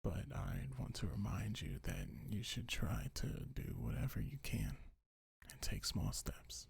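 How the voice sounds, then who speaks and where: A man speaking gentle, soothing ASMR affirmations in a breathy, husky half-whisper, unhurried and close to the microphone. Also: lowish voice, huge pitch swings, no echo. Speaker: ASMR creator. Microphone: close microphone, dry.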